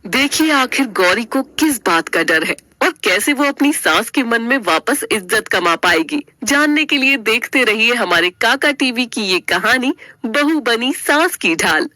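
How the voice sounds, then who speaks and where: A woman's voice: fairly high pitch, animated, a little slow, hardly any pauses, bright, forceful tone. A woman storyteller giving a dramatic scripted narration of a family story.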